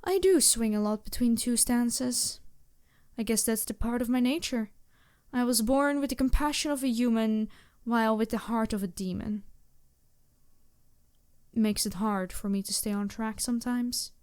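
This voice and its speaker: Woman voicing a high-voiced, regal demon princess. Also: darkish tone, smooth, lightly acted. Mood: solemn, reflective. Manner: formal, measured, slightly stilted phrasing. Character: female demon princess, regal, empathetic, teasing and flirtatious. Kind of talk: ASMR roleplay addressed to the listener.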